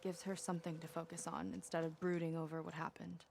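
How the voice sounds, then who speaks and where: A woman's voice: deep voice, level tone, fast talker, vocal fry, a little mellow, slight background noise. A woman playing a sincere, capable young ally in a video game, earnest and matter-of-fact. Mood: resolute. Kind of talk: naturalistic video game dialogue acting.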